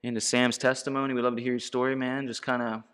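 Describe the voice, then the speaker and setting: A man co-hosting a casual interview, upbeat and quick to laugh. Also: medium pitch, flat delivery, fairly bright tone, smooth. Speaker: podcast co-host.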